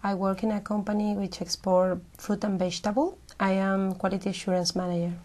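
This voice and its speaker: A woman's voice: mid-range voice, little pitch movement, brisk, darkish tone. A woman from Peru, answering as an exam candidate and hesitating as she searches for words.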